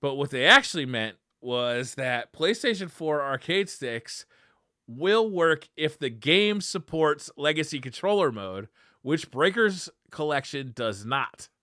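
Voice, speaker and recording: A man's voice: on the high side, very animated, a little slow, pushed and bright, dry recording, studio quality. A man co-hosting a casual retro gaming podcast, talking in a conversational way. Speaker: podcast co-host.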